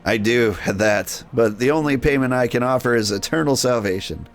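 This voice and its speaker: A man's voice: mid-range voice, moderate pace, faint music behind, natural voice. A man voicing an earnest, devout street preacher in a video game, with a declamatory manner.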